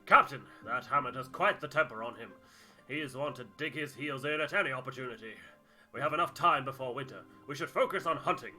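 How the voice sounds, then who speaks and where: Man playing an earnest, forceful expedition man. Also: medium pitch, fast, soft background music. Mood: insistent.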